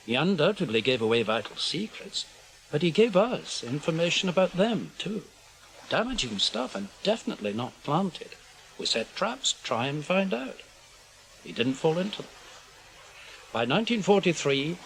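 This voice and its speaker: Radio drama casting: senior British spymaster, older man, somewhat higher voice, guarded, weary, clipped explanatory phrasing. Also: a bit bright, slight background noise, low-quality recording. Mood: grave, matter-of-fact. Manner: measured, clipped, explanatory delivery in short phrases. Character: senior male British intelligence officer, guarded, weary, conscience-burdened spymaster.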